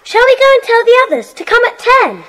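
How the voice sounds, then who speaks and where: A woman playing an eager, inquisitive little British schoolgirl in a children's audio drama, excitable and full of questions. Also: very high voice, brisk, heavily acted, poor audio. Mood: curious.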